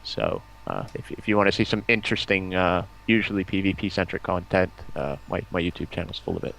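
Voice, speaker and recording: A man's voice: deep voice, lively intonation, fairly quick, fairly bright tone. A man chatting casually as a stream guest, with a cheeky, bantering manner. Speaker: stream guest, hobby video maker. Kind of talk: casual chat. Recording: clearly noisy home voice-chat line.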